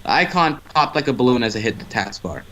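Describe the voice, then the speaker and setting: A man's voice: mid-range voice, big pitch swings, fast, bright, forceful tone, slight background noise, low-quality recording. A man reading a story aloud as an amateur narrator, stumbling over words and restarting phrases.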